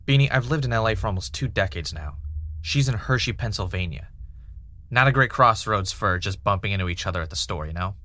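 An actor playing an obsessive, dry-witted middle-aged podcaster in a scripted audio drama. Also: male voice, fairly low pitch, animated, fairly quick, sharp tone. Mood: matter-of-fact. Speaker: podcast host.

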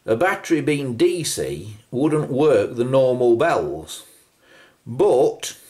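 A man's voice: mid-range voice, a little mellow. A British man giving an unscripted hands-on show-and-tell, musing as he goes and posing rhetorical questions. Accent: British English. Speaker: presenter of a vintage telephone video.

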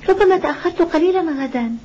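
High-voiced, devoted young girl. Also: lively intonation, fast talker. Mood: dutiful, caring. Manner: gentle, earnest, reassuring. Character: devoted, pious young village daughter. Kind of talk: Arabic cartoon dub acting. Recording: dull, noisy old cartoon soundtrack.

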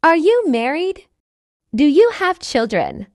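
A woman's voice: high voice, huge pitch swings, slow pace, a little mellow, no echo, studio quality. A woman reading a simple English dialogue for learners, carefully enunciated and repeating each line. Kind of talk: slow scripted dialogue reading.

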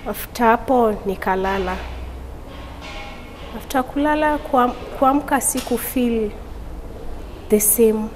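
A young woman, giving a halting personal testimony as an interview guest in a studio, talking through a lapel mic. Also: a little high, big-room sound, a little hiss or hum, poor audio. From Tanzania. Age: young adult. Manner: halting, with frequent restarts. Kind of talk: personal testimony, mixing Swahili and English. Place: indoor studio.

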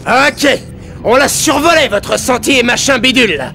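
Sarcastic young hero, high, gravelly edge, animated. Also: male voice, measured pace, faint music behind, full character voice. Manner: wisecracking, sarcastic. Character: cocky young male alien mechanic hero. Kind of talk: French video game dub.

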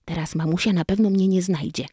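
A woman's voice: lowish voice, steady tone, a little fast, mellow, breathy edge, no echo, studio quality. A woman voicing an earnest, bossy, imaginative little toddler boy in a children's storybook. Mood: confident.

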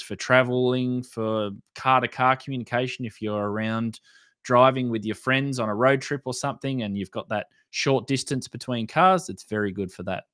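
A man's voice: mid-range voice, fairly bright tone, no echo. A man in his thirties with an Australian accent explains things in a plain, instructional tutorial style, close to the microphone. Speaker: presenter of an amateur radio channel. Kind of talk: explanatory tutorial narration over slides.

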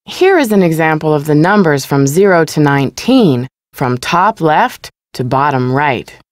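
Low-pitched female museum guide. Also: big pitch swings, measured pace, no echo, clean studio sound. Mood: instructive. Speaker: museum exhibit narrator. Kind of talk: scripted educational narration in a video game.

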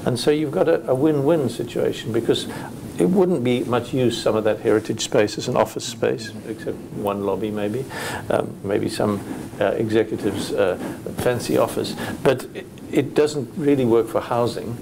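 A man's voice: medium pitch, moderate pace, gravelly, darkish tone, slight background noise, rough recording. A man speaking as a panelist at a hotel industry conference, thoughtful and hesitant with many restarts.

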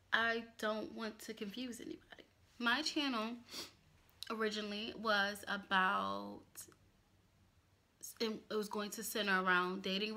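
A young woman in her twenties talks straight to the camera from a small home office, chatty and candid. Her voice is bright and forward, at an ordinary pitch for a woman. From Pennsylvania, US. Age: 20s. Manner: chatty, candid, expressive hand gestures. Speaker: YouTuber. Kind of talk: casual vlog chat to camera.

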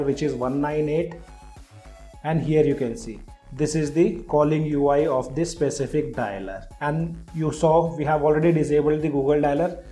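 Mellow-voiced man hosting a tech tutorial. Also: on the high side, echoey room. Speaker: tech video host. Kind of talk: tutorial voice-over demonstrating a phone app. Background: background music.

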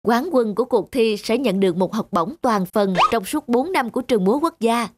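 A woman's voice: a little high, lively intonation, brisk, background noise, close to an everyday voice. A woman voicing a kind, encouraging young ballet teacher in a cartoon, speaking politely and explaining things. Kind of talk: cartoon dubbing.

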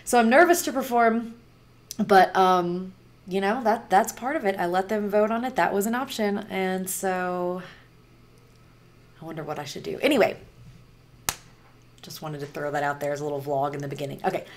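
A woman in her thirties with a raspy voice, chatting casually and rambling to camera about a song she is reacting to, recorded on a webcam in a small home room. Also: mid-range voice, moderate pace, long pauses. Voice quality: raspy voice from singer's nodules. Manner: chatty and rambling, many fillers. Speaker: music reaction vlogger. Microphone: webcam, wearing headphones.

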